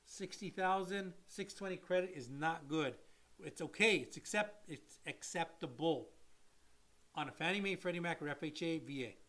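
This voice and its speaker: A man's voice: fairly high pitch, moderate pace, a bit bright. A man who works as a mortgage loan officer, co-hosting a casual chat and explaining loans to viewers in an emphatic, blunt way and repeating his key points.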